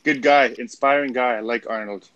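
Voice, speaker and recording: A man from Germany with a German accent, co-hosting a casual live stream chat, relaxed and agreeable. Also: medium pitch, moderate pace, highs cut off.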